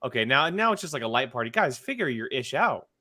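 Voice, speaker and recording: A man's voice: medium pitch, sing-song, fast, pushed and bright. A man hosting a casual live group chat, with a teasing, playfully exasperated way of talking. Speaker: host.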